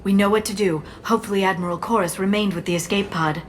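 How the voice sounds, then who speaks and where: Woman playing a quick, earnest alien squadmate. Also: medium pitch, fairly even intonation, in a large hall, slight background noise, close to an everyday voice. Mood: concerned. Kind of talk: dramatic video game acting.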